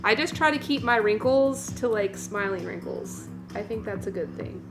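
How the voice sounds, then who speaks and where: A woman in her late thirties hosts a casual livestream with clear music playing behind her. Her voice sits at an average pitch for a woman. She talks in an informal, rambling way, peppered with filler "like" and exclamations as she responds to viewers. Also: expressive, normal speed. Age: late 30s. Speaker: livestream host.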